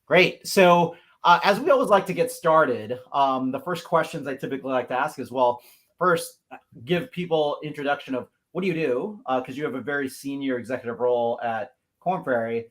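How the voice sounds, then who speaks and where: A man from the Pacific Northwest hosts a livestream over a video call. His voice sits at an ordinary mid pitch. He is effusive and upbeat, with plenty of "uh"s and "gosh"es. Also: normal speed, a bit bright. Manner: upbeat, effusive, many fillers. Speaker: host. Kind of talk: livestream talk show hosting.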